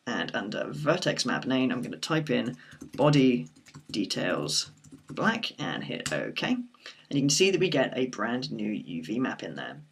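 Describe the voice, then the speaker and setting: Husky-voiced man teaching a software tutorial. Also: medium pitch. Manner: steady explanatory walkthrough with occasional fillers. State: croaky from a sore throat. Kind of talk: screen-recorded software tutorial.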